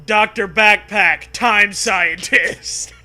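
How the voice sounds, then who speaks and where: Male reader as nutty old time scientist, high and bright, pompously drawn-out, comic storybook style. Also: faint music behind, heavily acted. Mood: grandiose.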